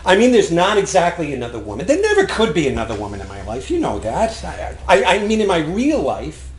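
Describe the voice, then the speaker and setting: A man's voice: a little high, huge pitch swings, fairly quick. A man playing a nervous, evasive longtime husband in a stage comedy, hesitant and stammering. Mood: anxious, earnest. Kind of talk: stage acting in a one-act comedy play. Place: indoor theater stage, recorded live. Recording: roomy live theater recording, faint noise.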